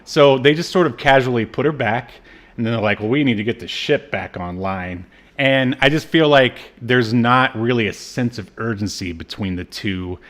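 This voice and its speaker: A man co-hosting a podcast, casually recapping a TV episode in a halting, informal way. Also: mid-range voice, fairly bright tone. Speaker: podcast co-host.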